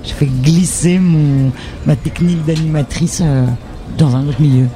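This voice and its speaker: A woman from northern Charente in France talks freely as a radio guest at a festival. her voice is mellow and a little dark, and she chats in a lively, familiar way that slips into casual tu. the delivery is unscripted and talkative. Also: low-pitched, measured pace, soft background music. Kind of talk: casual radio interview chat.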